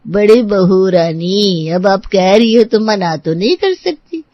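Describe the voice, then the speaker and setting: A woman's voice: medium pitch, expressive, takes it slowly, dry recording, dull audio. A woman playing a shrewd, stubborn older housemaid in an audio story, with a colloquial servant's way of talking. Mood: coaxing. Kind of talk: scripted audio story dialogue.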